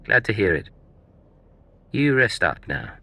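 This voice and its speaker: An actor playing a cool, deceitful secret agent in a video game, with a curt and threatening manner. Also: male voice, lowish voice, very animated, unhurried, vocal fry, sharp tone, a little hiss or hum, heavily acted. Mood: cold, threatening.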